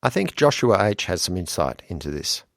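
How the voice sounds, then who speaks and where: A man from Western Australia, hosting and narrating a podcast in a relaxed, wry way. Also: low-pitched, normal speed, creaky, no echo, studio quality. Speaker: podcast host.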